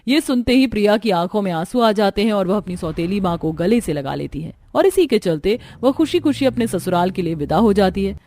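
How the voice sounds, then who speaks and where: A woman's voice: medium pitch, expressive, a little fast, hardly any pauses, dark tone. A woman narrator reading a scripted family story for a cartoon.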